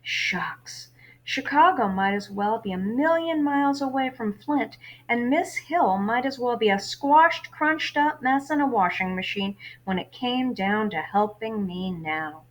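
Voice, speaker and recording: A woman's voice: on the high side, animated, unhurried, voice pushed up, poor audio. A young orphan boy voiced by a woman reading a storybook tells his own story in plain, folksy words. He grumbles with childlike exasperation, in an everyday voice. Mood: exasperated. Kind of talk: first-person children's novel read-aloud.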